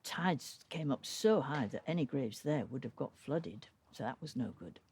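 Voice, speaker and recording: A woman reading a traditional folk tale aloud as a storyteller, with dramatic, deliberate phrasing. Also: rumbling low, lively intonation, a little mellow, husky.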